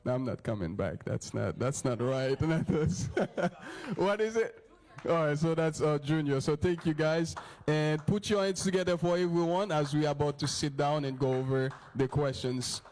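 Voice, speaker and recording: A man's voice: fairly high pitch, measured pace, a little mellow. A man from Haiti, a youth leader, casually hosting a church Q&A panel with lots of fillers and laughs.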